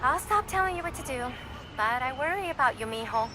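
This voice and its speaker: An actress playing a caring, worried mother who gently nags her son in a game cutscene. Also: high-pitched, expressive, a little fast, background noise. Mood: concerned. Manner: warm, gently nagging.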